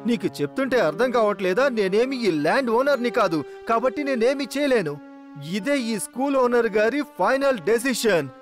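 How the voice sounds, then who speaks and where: A fast, high-pitched fussy cartoon man. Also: expressive. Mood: dismissive. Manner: insistent, repetitive, brisk. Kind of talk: animated children's cartoon acting. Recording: background music under the voice.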